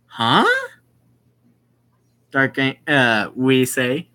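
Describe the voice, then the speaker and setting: A man reading a visual novel aloud with casual commentary, halting and often repeating his phrases. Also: medium pitch, very animated, bright, forceful tone.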